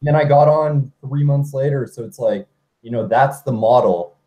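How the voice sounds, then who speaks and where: A man's voice: mid-range voice, moderate pace, mellow, poor audio. A man in a casual group chat, talking in a loose, chatty way full of "like" and "you know".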